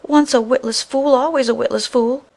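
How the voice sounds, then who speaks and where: A woman voicing a sharp-tongued young peasant wife in a storybook tale, scornful and exclamatory in old-fashioned phrasing. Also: high-pitched, steady tone, normal speed, gravelly, pitched above the performer's own voice. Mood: scornful, angry. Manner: scolding, exclamatory, archaic phrasing.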